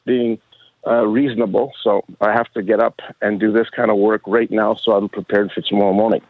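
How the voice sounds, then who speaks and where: A man in his sixties calling a radio show, rambling casually in a halting, chummy way. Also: on the low side, level tone, no echo. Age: 60s. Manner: halting, chummy, says "bro" often. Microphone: phone. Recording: dull band-limited call-in line.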